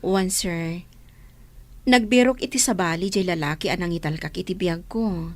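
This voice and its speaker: A woman's voice: on the low side, moderate pace, natural voice. A woman playing a humble single mother asking for work as a caregiver, speaking meekly and respectfully. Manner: meek and respectful. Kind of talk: radio drama acting.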